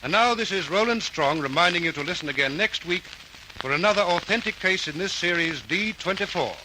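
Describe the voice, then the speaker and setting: A man's voice: high voice, expressive, brisk. A man reading formal, earnest narration for an old radio crime drama. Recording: old radio recording with hiss.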